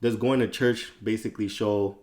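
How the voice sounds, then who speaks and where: Low-voiced casual male podcast host. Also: fairly even intonation, moderate pace, a little mellow. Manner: casual, repeats words when starting a question. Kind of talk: casual interview.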